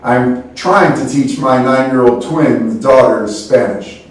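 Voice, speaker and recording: A man co-hosting a school morning broadcast, reading announcements in a steady, formal way. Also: mid-range voice, takes it slowly. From United States. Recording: echoey room, distant mic.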